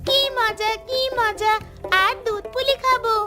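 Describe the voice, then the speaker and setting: A woman voicing an excitable little grandchild in a cartoon, eager and sweetly pestering. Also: very high-pitched, unhurried. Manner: eager, pleading, repeats phrases. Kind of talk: Bengali cartoon voice acting. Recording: music behind the voice.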